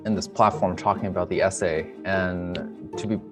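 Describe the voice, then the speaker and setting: A young man with a fairly low voice shares a personal account. He is earnest and reflective, choosing words with care. Instrumental music plays clearly under his speech. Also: fairly even intonation, moderate pace. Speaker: emergency physician, interview guest.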